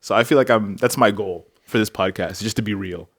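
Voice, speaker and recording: A young man from Africa co-hosting a faith podcast, musing aloud in casual chat with lots of fillers and lively leaps in pitch. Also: brisk. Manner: reflective, many filler likes, animated jumps in pitch. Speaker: podcast co-host.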